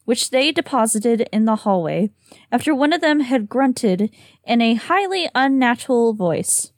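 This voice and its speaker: A woman's voice: fairly high pitch, sing-song, unhurried, no room sound, studio quality. A woman reading a horror story aloud with a slight lisp on s sounds, sometimes tripping over words. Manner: giggly, trips over words. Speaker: reader and co-host.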